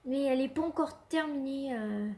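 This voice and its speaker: A woman's voice: high-pitched, moderate pace, warm tone, slight background noise. A young woman hosting casual Minecraft commentary with a stuffy cold, hesitant and full of fillers and restarts. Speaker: gaming channel host.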